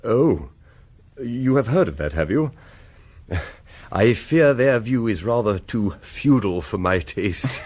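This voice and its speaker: Genial English rector, huskily animated. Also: male voice, mid-range voice, slow, husky. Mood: confiding, pleased. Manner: effusive, wordy, genteel. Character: genial, loquacious Victorian country rector, keen antiquarian. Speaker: actor in an audio drama. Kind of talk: period radio drama acting.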